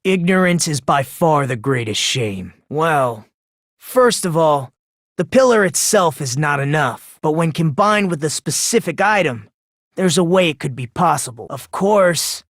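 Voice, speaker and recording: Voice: medium pitch, animated, a little slow, no room sound, clean studio sound. An actor voicing a polite but unsettling teenage boy in a video game, self-deprecating with a smug, condescending streak. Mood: smugly confident.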